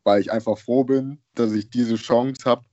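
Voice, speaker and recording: A young man from Germany, a basketball player, chatting casually as an interview guest in relaxed slang, laughing often. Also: mid-range voice, normal speed, no room sound, highs cut off. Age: young adult. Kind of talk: casual chat.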